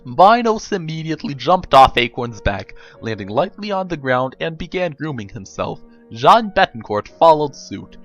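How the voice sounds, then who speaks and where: A man giving a dramatic reading of a story, with emphatic phrasing and deliberate pauses. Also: a little high, big pitch swings, muffled recording, faint music behind. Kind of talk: dramatic audiobook-style narration with pictures.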